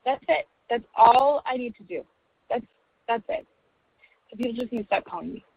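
A woman's voice: a little high, animated, normal speed, husky, narrow, tinny audio. A woman caller ranting in a self-aware way in a voicemail.

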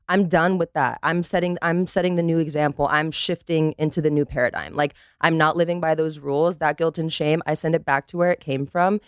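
Podcast guest, woman, clear smooth voice, dry close sound, candid and emphatic, swears freely. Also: lowish voice, no room sound. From Costa Rica. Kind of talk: candid casual conversation.

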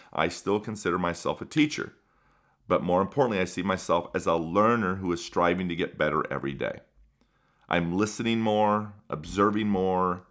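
A middle-aged man whose first language is Spanish, hosting a podcast as a former school principal and speaking in an earnest, measured way. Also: low-pitched, fairly bright tone. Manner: earnest, measured, builds lists for emphasis. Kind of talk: reflective podcast monologue.

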